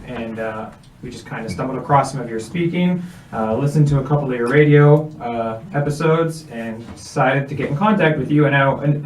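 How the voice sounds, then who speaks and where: A man's voice: mid-range voice, roomy sound, far from the mic, slight background noise, low-quality recording. A young man in his twenties from Kentucky, a guest on a radio show, speaking articulately with frequent filler words. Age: 20s. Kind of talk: radio interview. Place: radio studio.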